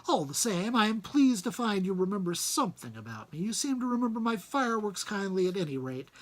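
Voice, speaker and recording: A man reads as an old wizard out of a storybook, husky and commanding. He speaks deliberately, with pompous dignity and a wry edge. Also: high voice, full character voice. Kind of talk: storybook character reading.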